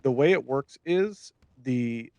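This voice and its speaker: A man's voice: mid-range voice, expressive, takes it slowly, mellow, dry recording. Man, casual and rambling, swears freely, dry close sound. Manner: loose, rambling, casually profane. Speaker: fellow roleplayer on a call. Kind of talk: casual chat.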